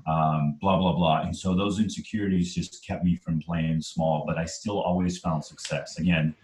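A man in his forties from Trinidad speaks in a very low voice and tells his story earnestly as an interview guest. He leans into his points and keeps checking in with "right?". The room around him adds a clear echo. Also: steady tone, moderate pace, a little mellow. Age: 40s. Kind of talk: conversational storytelling.

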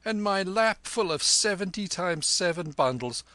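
A scolding peasant wife who never stops grumbling, as a male reader puts her on. Her voice shoots up high and loud, shrill and scornful, with a bright edge in a dry close recording. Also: lively intonation, a little slow, no echo, heavily acted. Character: scolding middle-aged peasant wife, sharp-tongued.

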